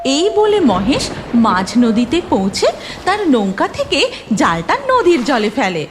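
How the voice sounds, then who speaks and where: Casting: female cartoon narrator, high voice, unhurried and dramatic, expressive range. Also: a little hiss or hum.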